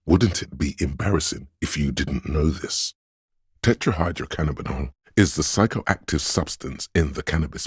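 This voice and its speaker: Game inner-voice role: encyclopedic know-it-all man, extremely low and very smooth, resonant, measured, faintly condescending. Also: dry recording, clean studio sound, heavily acted. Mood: dryly condescending. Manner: measured, scholarly, dryly condescending. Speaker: game narrator. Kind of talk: scripted game narration.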